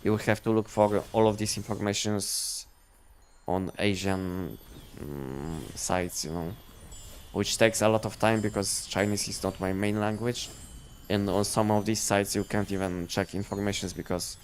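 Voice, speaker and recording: Male game streamer, lowish voice, casual halting delivery, faint background noise. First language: not English. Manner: halting, repetitive, filler-heavy explanations. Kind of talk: casual livestream chat.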